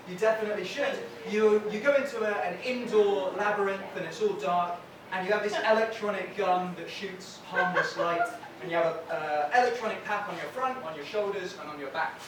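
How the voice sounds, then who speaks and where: A high-voiced Englishman with a softened Brighton accent tells wry stand-up stories in a deadpan way. He is heard from off the mic in a very echoey room. Steady noise hangs behind him. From England. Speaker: stand-up comedian. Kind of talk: stand-up comedy set. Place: comedy venue with audience. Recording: echoey, distant, noisy room.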